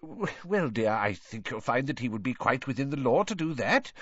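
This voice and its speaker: A man's voice: mid-range voice, very animated, normal speed, no room sound, highs cut off, pitched above the performer's own voice. A man voicing a tired, kindly middle-aged wizard father who stammers when flustered. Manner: stammering, sheepish, weary.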